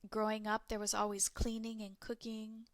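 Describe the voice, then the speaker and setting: A woman teacher giving a recorded lesson to English learners, explaining slowly and clearly step by step. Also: on the high side, completely flat delivery, dry recording, clean studio sound.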